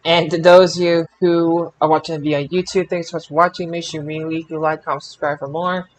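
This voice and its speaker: Very high-pitched man hosting a podcast. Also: little pitch movement, a little fast, a little hiss or hum, poor audio. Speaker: podcast host. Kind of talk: casual hosting and sign-off.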